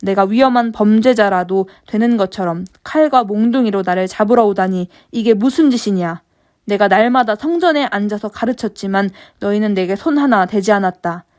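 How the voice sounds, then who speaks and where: A woman reading the words of Jesus in a Bible passage, in her own voice, calm and measured. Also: mid-range voice, close to an everyday voice. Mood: grave. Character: Jesus, calm grown man, grave and firm. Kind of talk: scripture reading.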